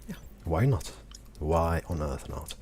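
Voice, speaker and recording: A young man streaming a game, talking slowly and calmly in a soothing voice. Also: very deep voice, slight background noise. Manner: slow, calm, deliberate talk. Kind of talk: casual gaming stream chat.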